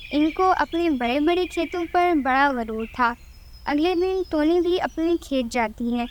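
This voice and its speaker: A woman's voice: high voice, slight background noise. A woman narrator reading a scripted children's story.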